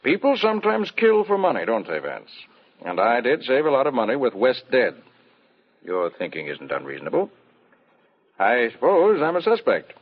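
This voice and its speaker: An actor playing an urbane, self-assured theater producer in an old-time radio mystery, frank and brusque in manner. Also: male voice, mid-range voice, huge pitch swings, normal speed, slightly rough, exaggerated voice. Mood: frank, wry. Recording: dull, muffled old radio recording.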